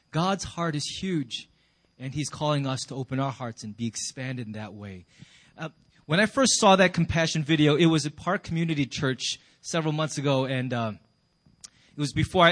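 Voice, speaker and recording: A man's voice: fairly high pitch, a bit bright. A man speaking informally to a congregation as a pastor introducing guest speakers. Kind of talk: informal church address. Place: high school cafeteria used for church.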